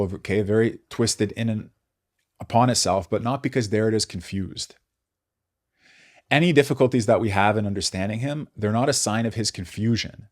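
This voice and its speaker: A man talks through a philosophy lecture in a fairly low voice. He thinks out loud, reaching for words and rephrasing as he goes. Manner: thoughtful, searching for words, restating ideas. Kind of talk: unscripted explanatory lecture with read quotations.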